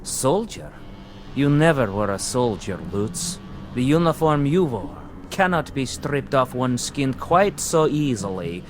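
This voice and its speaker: An actor playing a calm, accusing former prisoner in a vintage television drama, measured and insinuating, with mocking politeness. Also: male voice, medium pitch, noisy background.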